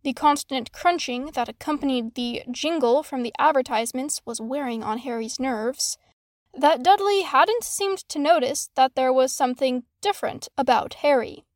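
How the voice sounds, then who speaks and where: A woman narrates scripted fiction in a high voice. The recording is dry, with hardly any room sound. She reads the prose as an audiobook narrator. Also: normal speed, no room sound.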